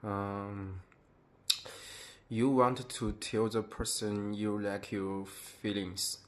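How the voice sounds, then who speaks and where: A man interviewing a friend, asking questions hesitantly with pauses. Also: fairly low pitch, flat delivery. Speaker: student interviewer.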